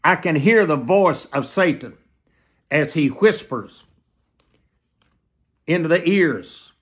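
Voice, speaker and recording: An elderly man preaching to the camera in an emphatic, deliberate manner, often repeating phrases for weight. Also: on the high side, animated, husky. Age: 70s. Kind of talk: sermon to camera. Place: small plain room indoors.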